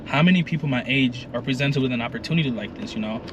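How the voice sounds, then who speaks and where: A man's voice: medium pitch, quick pace, muffled recording, noisy background. A young man, a guest chatting in a laid-back way and saying "you know" often. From Kenya, raised in Minnesota. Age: 20s. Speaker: guest, content creator. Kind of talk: casual interview chat.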